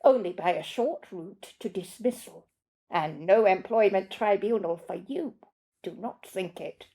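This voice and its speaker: Storybook butler, played by a woman: pompous, condescending, snappish, measured delivery, soft-edged tone. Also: mid-range voice, lightly acted. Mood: haughty, threatening. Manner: pompous, clipped, lecturing.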